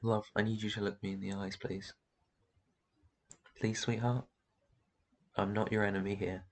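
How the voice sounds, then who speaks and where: A man's voice: fairly low pitch, little pitch movement, normal speed, creaky. A man playing a brooding, protective vampire in an intense fantasy ASMR roleplay. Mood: softening, concerned. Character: brooding protective male vampire guarding his human flock.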